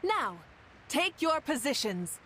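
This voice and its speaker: A woman's voice: high-pitched, big pitch swings, takes it slowly, a bit bright, a little hiss or hum, exaggerated voice. A woman playing a confident, poised and commanding tournament leader in a video game. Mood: rousing. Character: confident, rousing adult female tournament leader. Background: game music.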